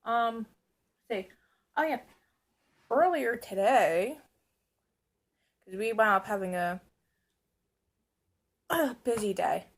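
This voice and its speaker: Hoarse, chatty woman vlogger. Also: fairly high pitch, lively intonation, slow pace, long pauses. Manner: chatty, rambling, self-mocking. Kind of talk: casual vlog chat. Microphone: camera on a stand.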